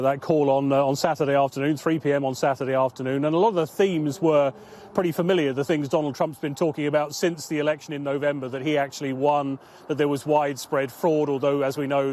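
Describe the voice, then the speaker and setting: A man's voice: fairly high pitch, fairly even intonation, fast talker, darkish tone. A man working as a news correspondent, giving a live explanatory report. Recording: outdoor report with clear background noise.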